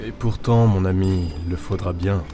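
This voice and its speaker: A man as deep, solemn companion of Death. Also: fairly even intonation, moderate pace, lightly acted. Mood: stern, ominous. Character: stern male companion of Death, firm and ominous. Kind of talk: audio drama acting. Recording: clear music behind the voice.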